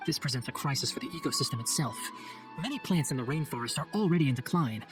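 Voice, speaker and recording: A man's voice: medium pitch, lively intonation, fast, music in the background, natural voice. An actor playing an earnest, scholarly young male forest ranger in a video game cutscene, speaking formally and explaining things with composure. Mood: earnest, grave.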